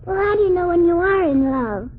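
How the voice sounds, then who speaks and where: A man's voice: very high-pitched, lively intonation, fairly quick, pitched above the performer's own voice. A man voicing a mischievous, literal-minded little girl with innocent, cheeky comebacks in a 1940s radio comedy act. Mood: curious, cheeky. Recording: tinny, hissy 1940s radio broadcast.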